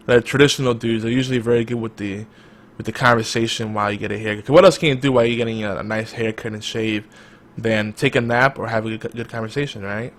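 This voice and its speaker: A man hosting a casual podcast chat, talking in a hyped-up, loud, energetic way. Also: medium pitch. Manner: energetic, self-described loud and hype. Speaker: podcast host.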